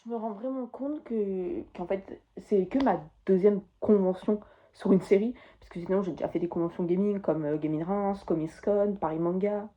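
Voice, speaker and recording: A woman's voice: mid-range voice, normal speed, muffled recording. A teenage girl vlogging from her bedroom, chatting casually and enthusiastically about her souvenirs, rambling as she goes. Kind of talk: casual chat to camera.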